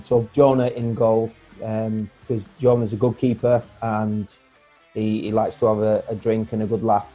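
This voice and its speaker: A man in his forties chatting casually as a guest on a video call, relaxed and rambling, with plenty of fillers and restarts. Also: fairly low pitch. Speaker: guest, former footballer. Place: home room, indoors. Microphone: earphone mic on a video call. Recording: dull lo-fi video call audio with faint music.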